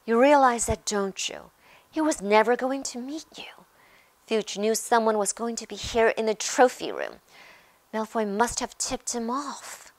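A woman's voice: fairly high pitch, lively intonation, unhurried, no room sound, voice pushed up, poor audio. A woman voicing a bossy, anxious schoolgirl for a storybook, scolding and insistent.

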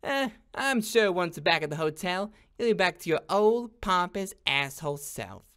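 A man voicing a brash, teasing demon gangster with a soft side, slangy and expressive, in a cartoon comic dub. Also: high voice, normal speed, clean tone, heavily acted. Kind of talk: animated comic dub acting.

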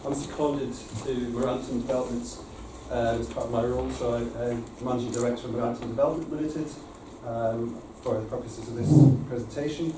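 A man with a Scottish accent presenting to a council panel in a relaxed, self-deprecating way with many fillers. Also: mid-range voice, fairly quick, noisy background. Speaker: company managing director presenting. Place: large council committee room. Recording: echoey committee room from far off.

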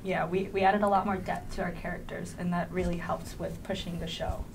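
A woman's voice: fairly low pitch, level tone, a little fast, vocal fry. A young woman student actor speaking casually as an interview guest. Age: teens to young adult, high school student. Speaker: student cast member being interviewed. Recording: faint background room noise.

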